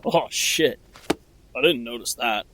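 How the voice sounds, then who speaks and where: A man's voice: mid-range voice, animated, takes it slowly, background noise. An actor playing a bumbling, self-pitying teenage male cult leader in a comedic horror audio drama, clueless and petulant, talking in casual bro slang. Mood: clueless enthusiasm.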